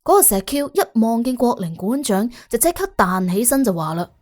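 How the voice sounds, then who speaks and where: 一個女人用粵語演繹有聲偵探小說，照稿講故事，做旁白。. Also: female voice, a little high, sing-song, moderate pace, a little mellow. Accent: Cantonese. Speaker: audiobook narrator. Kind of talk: expressive scripted detective-story narration.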